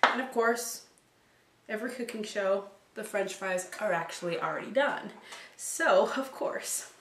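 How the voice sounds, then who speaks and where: A woman's voice: mid-range voice, a little slow, fairly bright tone, a little hiss or hum. A young woman hosting a casual home cooking demonstration, chatting in an upbeat way while she works with her hands in her kitchen. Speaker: cooking show host. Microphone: camera mic from a few meters away. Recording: roomy kitchen sound from a distant camera mic. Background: clinking dishes and pans.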